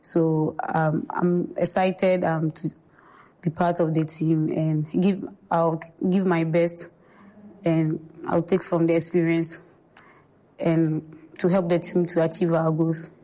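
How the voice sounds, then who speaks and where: A woman's voice: low voice, little pitch movement, takes it slowly, mellow, rough recording. A woman footballer giving hesitant, sincere interview answers. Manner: hesitant, sincere, many fillers. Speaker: national team footballer.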